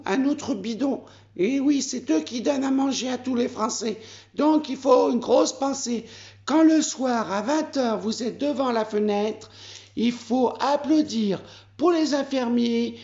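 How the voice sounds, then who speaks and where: A man voicing a slow, greedy furry pet. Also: very high voice, dark tone, lo-fi sound, pitched above the performer's own voice, exaggerated voice. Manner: slow, simple, drawn-out talk. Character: greedy furry male pet, slow-talking and always hungry.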